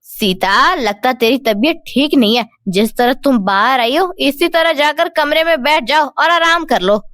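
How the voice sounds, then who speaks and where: A woman's voice: high-pitched, unhurried, fairly bright tone, no echo. A woman voicing a caring older mother-in-law, the head of the household, in a cartoon story. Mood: concerned.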